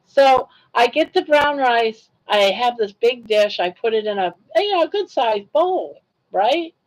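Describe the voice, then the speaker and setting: A woman's voice: fairly high pitch, lively intonation, measured pace, rough recording. An older woman talking casually on a livestream, chatty and full of laughter as she tells stories. She often turns to answer comments from her viewers. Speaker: livestream host.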